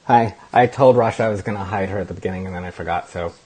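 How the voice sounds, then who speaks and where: A man hosting a casual interview show, upbeat and animated, asking friendly questions. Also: deep voice, quick pace, breathy edge. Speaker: host.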